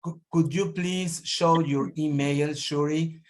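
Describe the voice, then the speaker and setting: A man with a fairly high voice hosts an online meeting. He is courteous, often doubling his phrases as he nudges participants along. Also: fairly even intonation, takes it slowly, slight background noise. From Peru. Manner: courteous, repeats himself to urge speakers.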